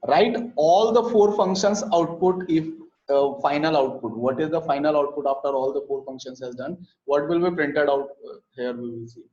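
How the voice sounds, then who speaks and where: High-voiced man teaching code, lo-fi audio. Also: a little mellow. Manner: halting, repeats words with many "uh" fillers. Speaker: programming instructor. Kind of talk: live online coding lesson. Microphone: computer mic in a live class. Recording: dull lo-fi live class audio.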